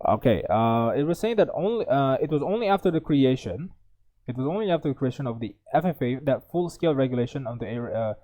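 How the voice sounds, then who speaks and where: A man's voice: medium pitch, expressive, fast talker, mellow. A young man tutoring, reading an exam passage aloud with many stumbles and self-corrections, then thinking through the answers. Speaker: IELTS tutor.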